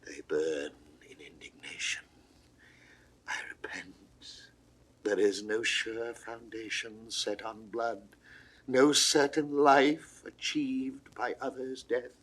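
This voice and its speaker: A man's voice: mid-range voice, takes it slowly, long pauses, poor audio. A man acting a proud, uneasy English king in a classical Shakespeare audio drama, with stately, declamatory, theatrical delivery. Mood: guilty, brooding.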